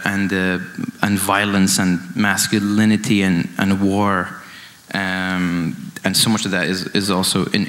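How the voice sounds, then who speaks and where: A man in his late twenties from Iran giving an informal, reflective artist talk, halting and circling back over his phrases. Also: sits low, fairly bright tone. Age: late 20s. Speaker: dance artist, panelist. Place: indoor event stage, amplified.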